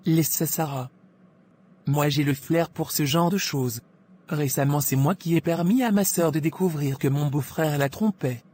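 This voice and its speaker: A woman's voice: low-pitched, moderate pace, a little mellow, natural voice. A woman playing a gossipy, scheming pharmacy employee in a cartoon drama, insinuating and self-assured, with faint noise. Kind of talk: animated moral drama dialogue.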